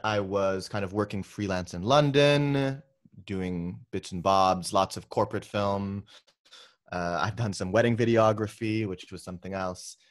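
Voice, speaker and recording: A young man who grew up in the Philippines, talking about his film work in a relaxed, chatty way with frequent ums and restarts, over a video call from his bedroom. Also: on the low side. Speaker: guest filmmaker. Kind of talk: casual interview conversation. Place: bedroom at home.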